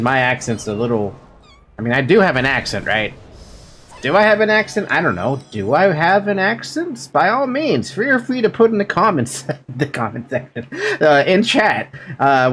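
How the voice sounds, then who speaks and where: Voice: medium pitch, big pitch swings, normal speed, fairly bright tone, slight background noise. A game streamer chatting casually and playfully with viewers in a rambling way while playing. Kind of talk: casual livestream chat over gameplay.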